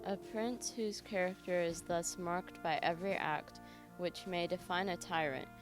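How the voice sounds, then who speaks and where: A woman's voice: fairly low pitch, unhurried. A woman reading a formal historical document aloud. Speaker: reader. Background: music.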